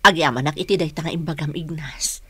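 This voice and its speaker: Devoted anxious woman, husky, slightly low, tender, emotive, Ilocano radio drama. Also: fast talker, a bit bright. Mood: tender, longing. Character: Celia, anxious, loving partner of Ignas.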